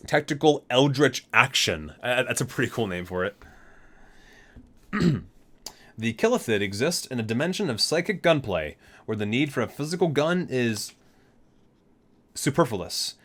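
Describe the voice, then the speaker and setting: A man's voice: mid-range voice, big pitch swings, measured pace, pauses often, a bit bright. A man hosting a gaming ASMR video, reading entries aloud and dropping into casual, amused asides.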